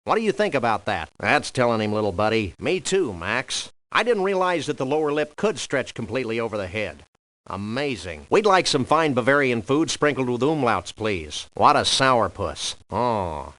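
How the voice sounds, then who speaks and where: A man voicing a wry cartoon dog detective in a comic adventure game, with dry, wordy, deadpan sarcasm. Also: mid-range voice, no room sound.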